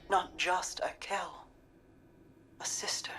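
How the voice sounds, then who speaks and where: A woman voicing a weary, wistful alien queen in a video game, in a measured, reflective storytelling manner. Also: fairly low pitch, fairly even intonation, a bit bright, in a whisper, recorded from a distance. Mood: weary, sincere.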